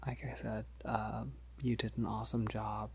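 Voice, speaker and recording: A man playing a polite, gentle, shy vampire in an ASMR roleplay, speaking softly and hesitantly to reassure the listener, often near a whisper. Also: lowish voice, completely flat delivery, gravelly, slight background noise. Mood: friendly, encouraging. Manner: hesitant, reassuring, halting with soft chuckles.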